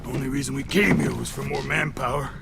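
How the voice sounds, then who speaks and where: Game villain: injured middle-aged captive raider, heavily gravelled voice, sardonic and menacing, strained delivery, noisy capture. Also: male voice, mid-range voice, steady tone, fast talker. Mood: bitter, menacing. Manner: taunting, profane, sardonic. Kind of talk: video game voice acting.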